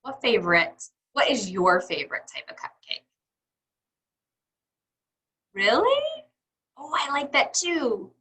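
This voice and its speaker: Bright, high-pitched woman reading to young children. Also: takes it slowly, long pauses, husky. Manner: teacherly, asks questions and answers them; exaggerated, expressive child-directed delivery. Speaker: read-aloud storyteller for young children. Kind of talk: picture book read-aloud with questions to young listeners.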